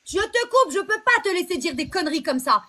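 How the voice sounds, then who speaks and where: A woman with a very high, piercing voice argues hard in a heated live debate. She talks bluntly and crudely, cuts in constantly and will not give ground. Her tone is bright and tense throughout. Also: sing-song, fairly quick. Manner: forceful, interrupting, blunt and crude. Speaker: livestream host.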